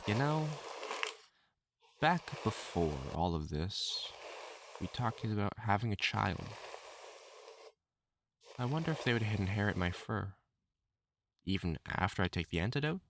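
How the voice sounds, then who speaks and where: A man's voice: fairly low pitch, very animated, a little slow, long pauses. A man playing an obsessive, lovesick furred supervillain in a dramatic audio roleplay, speaking intimately to the listener with theatrical endearments. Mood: resigned, menacing tenderness. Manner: pleading, stammering, theatrical endearments. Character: obsessive lovesick male supervillain with fur, desperate and menacing.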